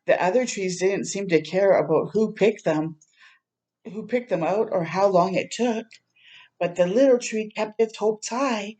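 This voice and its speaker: Woman doing kids storytime, gentle n expressive, takes her time, soft warm tone. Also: mid-range voice. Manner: gentle, expressive, prompting listeners with questions. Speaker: children's storytime host reading aloud. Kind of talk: picture book read-aloud with asides to young listeners.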